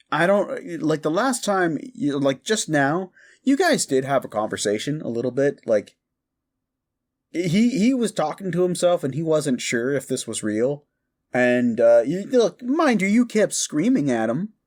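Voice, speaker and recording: A man playing an excitable, cheerfully amoral modern necromancer prankster in a comedic audio roleplay, rambling and stammering eagerly. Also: fairly high pitch, unhurried. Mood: gleeful scheming. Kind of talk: comedic audio roleplay monologue addressed to listener.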